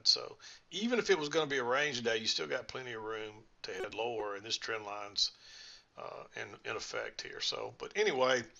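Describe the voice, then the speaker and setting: A man's voice: medium pitch, gravelly, pushed and bright, dull audio. A man reviewing trading charts, rambling folksily as he thinks aloud, his voice a little scratchy. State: slightly scratchy voice. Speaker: trading instructor. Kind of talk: screen-share chart walkthrough.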